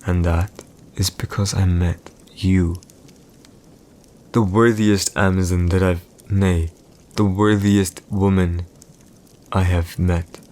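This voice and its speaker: A man's voice: sits low, little pitch movement, slow, long pauses, darkish tone. A man playing a haughty, teasing villain emperor in an ASMR audio roleplay, with formal, condescending, old-fashioned diction. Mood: grave, admiring.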